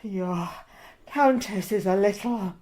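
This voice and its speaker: An actress playing a haughty, vain, bloodthirsty countess in a horror audio drama, theatrical and imperious with breathy whispers. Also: female voice, mid-range voice, slow, mellow, lightly acted. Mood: horrified, proud.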